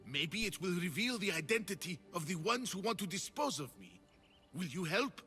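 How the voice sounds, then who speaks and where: An actor playing an excitable, flowery artist of ancient Greece in a video game, theatrical and effusive. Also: male voice, high voice, moderate pace, soft background music, exaggerated voice. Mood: secretive, earnest. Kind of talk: video game cutscene acting.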